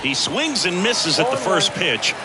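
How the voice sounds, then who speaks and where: A man playing an alert baseball play-by-play announcer in a video game, quick to rise with the action. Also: high-pitched, very animated, loud music behind the voice, poor audio. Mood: alert, building excitement. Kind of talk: sports commentary in a video game. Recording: loud stadium crowd and ambience behind. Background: ballpark crowd noise.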